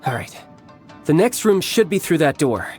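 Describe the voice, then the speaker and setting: A man's voice: fairly high pitch, sing-song, normal speed, a little mellow, soft background music. An actor playing a cocky, calculating young male warrior in a video game, with a smug, casual, probing manner. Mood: eager, casual.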